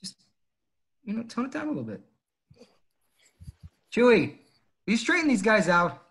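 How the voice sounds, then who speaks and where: A man's voice: high-pitched, huge pitch swings, moderate pace, fairly bright tone, breathy edge, heavily acted. A man playing a cocky, easily exasperated starship pilot in a casual, wry way at a script table read. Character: cocky, exasperated young male starship pilot in sci-fi film. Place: home, over video call.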